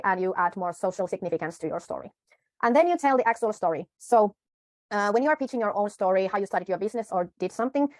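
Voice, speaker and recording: A woman coaching viewers through a media pitch in a tutorial, unhurried, pausing and correcting herself often. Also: a little mellow, no echo. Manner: unhurried, with frequent pauses and self-corrections. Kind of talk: tutorial talk to camera.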